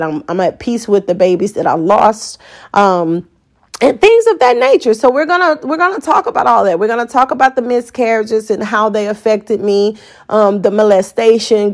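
A woman's voice: mid-range voice, darkish tone. A woman in her forties with an American accent, hosting a podcast as an unscripted, candid and colloquial personal monologue. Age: 40s. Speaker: podcast host.

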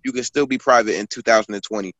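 A man's voice: lowish voice, quick pace, pushed and bright, no echo. A man in his twenties, a guest author and poet, talking casually in a rambling storytelling way, full of fillers and restarts. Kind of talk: casual conversation.

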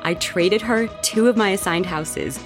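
A woman playing an excitable, bold young roommate who spins gossip dramatically in a horror audio drama. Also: mid-range voice, unhurried, over music, close to an everyday voice. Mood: building suspense. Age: young adult. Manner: animated, teasing, dramatic storytelling.

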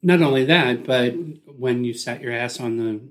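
A man in his forties from the Pittsburgh area co-hosts a loose, casual chat. He talks in halting bursts, restarting his sentences and laughing as he goes. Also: mid-range voice. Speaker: podcast co-host.

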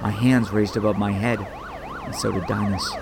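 A man's voice: on the low side, huge pitch swings, normal speed, noisy background. A man playing a wisecracking, confident archer vigilante in a superhero audio drama, wry and quippy. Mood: grim narration.

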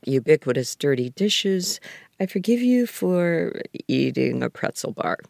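An older woman reads poetry at a very unhurried pace, in a close, dry recording. Her delivery is reflective and deliberate, with phrases set apart by pauses. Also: sits low, creaky, a little mellow, dry recording. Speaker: writer reading own poem. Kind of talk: slow reflective poetry reading.

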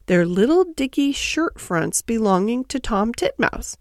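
Woman voicing a high, fussy little hedgehog washerwoman. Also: big pitch swings, measured pace, a little mellow, no room sound, clean studio sound. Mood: chatty, fussy. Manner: deferential, fussy, chatty. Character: eager, polite, stout little hedgehog washerwoman. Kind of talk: children's storybook character voice.

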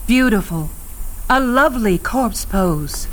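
Slow, serene cult leader. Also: female voice, on the high side, animated, background noise. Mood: coolly pleased. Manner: measured, ceremonial, coolly controlled. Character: serene, sinister female cult leader, a witch. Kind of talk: audio play acting.

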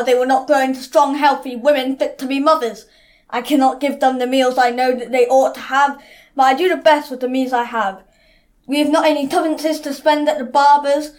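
Woman acting railwayman's wife, 1919, careworn, thrifty, high voice, scripted radio-play monologue. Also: close to an everyday voice. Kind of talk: scripted audio drama monologue.

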